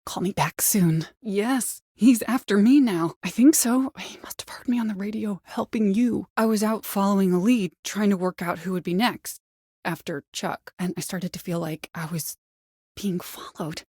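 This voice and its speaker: An actress playing a woman caller in a video game, by turns desperate, coaxing and menacing, with a hushed, insistent way of pleading. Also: medium pitch, moderate pace, slightly rough, dry recording, studio quality, natural voice. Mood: hushed fear. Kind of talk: video game voice acting, dramatic dialogue.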